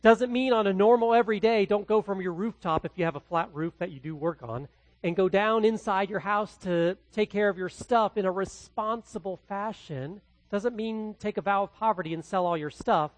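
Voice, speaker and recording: A man from the Midwest with a Midwestern accent, preaching a verse-by-verse sermon as pastor, swinging between loud and quietly hushed delivery. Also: sits high, normal speed, dry recording. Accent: Midwestern American. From American Midwest. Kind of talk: expository sermon.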